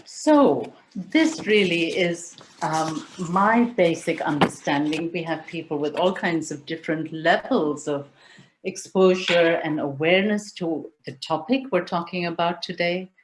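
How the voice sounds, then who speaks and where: Low-voiced woman presenting a webinar. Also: measured pace, noisy background. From India. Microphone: video call.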